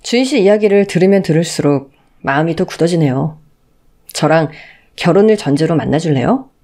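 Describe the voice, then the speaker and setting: A woman voicing a gentle, polite, earnest man in his thirties in a radio story drama. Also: low voice, expressive, a little fast. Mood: tender, earnest. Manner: soft-spoken, polite, earnest.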